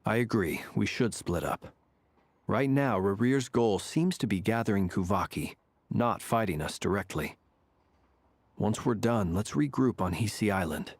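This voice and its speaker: Low, husky, composed investigator. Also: male voice, lively intonation, no room sound. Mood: calm. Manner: measured, serious. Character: composed, cautious male investigator, calm leader. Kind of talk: video game voice acting.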